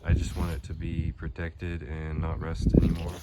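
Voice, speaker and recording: Young male vlogger, head cold, deep voice, loose filler-heavy delivery, noisy phone audio outdoors. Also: normal speed. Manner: relaxed rambling with fillers. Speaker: channel host. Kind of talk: casual how-to vlog. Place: pole barn, then outdoors on a lawn. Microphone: phone at arm's length, then phone camera behind it.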